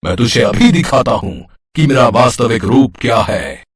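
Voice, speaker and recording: A man's voice: lively intonation, noisy background, natural voice. A man voicing an arrogant, menacing demon king in a mythological cartoon story, boastful and theatrical. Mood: menacing, gloating. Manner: boastful, theatrical, drawn-out delivery with booming threats.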